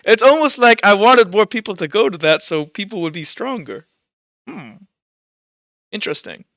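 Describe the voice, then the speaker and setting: A man speaking as game master, narrating a tabletop roleplaying game in an informal, halting, animated way. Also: high voice, dry recording, lo-fi sound.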